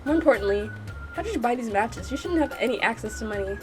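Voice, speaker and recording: A woman's voice: fairly high pitch, lively intonation, quick pace, natural voice. A woman voicing a stern, dutiful male bodyguard in a visual novel, matter-of-fact and restrained, with music behind. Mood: serious, explaining.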